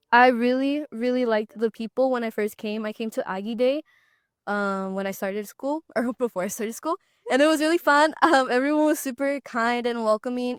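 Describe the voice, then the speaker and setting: A woman's voice: fairly high pitch, clean tone, no room sound, studio quality. A young woman co-hosting a casual student podcast, friendly and easygoing. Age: young adult. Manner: friendly, easygoing, light laughs. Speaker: podcast co-host, student ambassador. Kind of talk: casual chat.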